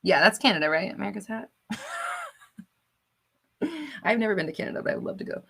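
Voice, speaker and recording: A woman's voice: medium pitch, quick pace, gravelly, bright, forceful tone, breathy edge. A woman hosting a casual live stream, reading viewer comments aloud with a bubbly, laughing manner. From United States. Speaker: livestream host.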